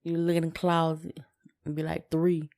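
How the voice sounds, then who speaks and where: A woman's voice: low voice, monotone, normal speed, darkish tone. A woman, chatting casually as a podcast co-host. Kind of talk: casual chat.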